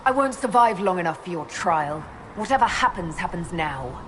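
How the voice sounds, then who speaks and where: A woman's voice: fairly low pitch, animated, moderate pace, close to an everyday voice. An actress playing a wry, resolute female elf adventurer in a fantasy game. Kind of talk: dramatic fantasy game acting. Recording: clear ambient noise behind.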